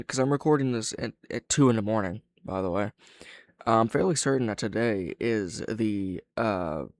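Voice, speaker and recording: A man's voice: on the low side, no echo, clean studio sound. A man hosting a casual reaction commentary, thinking aloud with plenty of slang and swearing. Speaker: reaction video host.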